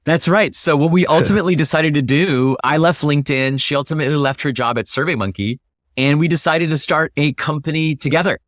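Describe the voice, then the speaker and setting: A man with a slightly high voice talks as a guest in an interview. He explains ideas conversationally and emphatically, often posing questions to make his point. The sound is dry and close, with little room. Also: brisk, no echo. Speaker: interview guest, startup founder.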